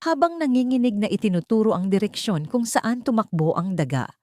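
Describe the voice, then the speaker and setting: A woman's voice: mid-range voice, expressive, a little fast, warm tone, dry recording, clean studio sound. A woman narrating a scripted dramatic story as an audiobook storyteller.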